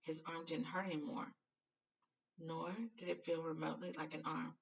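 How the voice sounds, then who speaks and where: A woman's voice: low voice, little pitch movement, moderate pace, creaky, distant mic, dull audio, a little hiss or hum. A woman reading a children's novel aloud expressively, sometimes stumbling and correcting herself. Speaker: storybook reader.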